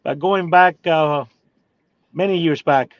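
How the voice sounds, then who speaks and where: A man's voice: on the high side, slow, no room sound. A man in his forties with a Cuban Spanish accent, speaking English as a second language, telling his story hesitantly with many fillers from inside a parked car. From Cuba. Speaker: guest. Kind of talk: casual interview. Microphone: phone video call. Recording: dry, slightly dull phone audio.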